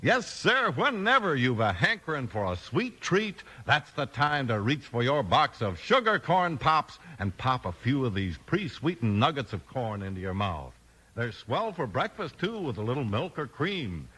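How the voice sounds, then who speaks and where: A man's voice: mid-range voice, big pitch swings, normal speed, sharp tone, breathy edge, rough recording. A man with an American accent delivering scripted announcements and narration in a dramatic, punchy 1950s radio style.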